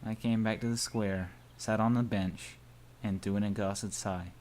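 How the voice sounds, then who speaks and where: A man's voice: lowish voice, fairly even intonation, a little slow, background noise. A man playfully narrating a visual novel aloud for a gaming video.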